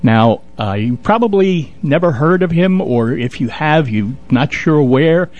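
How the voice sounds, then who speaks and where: A man co-hosting a radio show, giving news and announcements in casual, halting talk. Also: on the high side, lively intonation, a little mellow, lo-fi sound. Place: radio studio.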